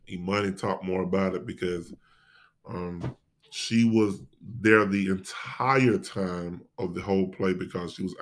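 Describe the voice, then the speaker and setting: An American man speaking casually and informally as an interview guest, repeating phrases for emphasis. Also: sits low. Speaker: podcast guest, stage play actor.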